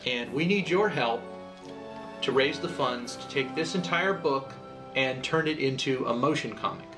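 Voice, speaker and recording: A man, a writer presenting his project, delivering a scripted crowdfunding pitch. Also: animated, unhurried, over music.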